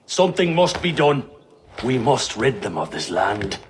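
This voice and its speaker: A man's voice: medium pitch, sing-song, measured pace, slight background noise. A scheming, zealous king. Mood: accusing. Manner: formal, oratorical, accusing. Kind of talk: dramatic video game cutscene acting. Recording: music behind the voice.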